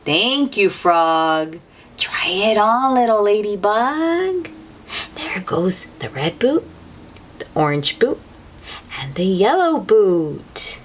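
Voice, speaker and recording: A woman's voice: mid-range voice, slow, a little hiss or hum. A woman reading a picture book aloud to young children in an encouraging, sing-song manner, as the host. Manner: animated, encouraging, sing-song talk addressed to small children.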